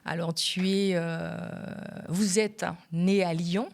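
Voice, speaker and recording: A woman's voice: fairly low pitch, fairly even intonation, slow pace. A woman hosting an interview, presenting her guest and putting questions to them. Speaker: interview host. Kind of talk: scripted introduction and interview questions.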